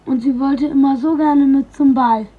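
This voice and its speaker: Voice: high voice, steady tone, moderate pace. A child retelling a fairy tale from memory, recorded on a lo-fi cassette tape.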